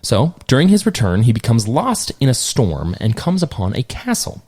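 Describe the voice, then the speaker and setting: A man casually retells an old fairy tale on a podcast, breaking in with dry jokes. His pitch rises and falls a lot as he narrates. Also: wildly swooping pitch, normal speed, a little mellow, slightly rough. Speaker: podcast host, storyteller. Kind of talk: casual storytelling chat.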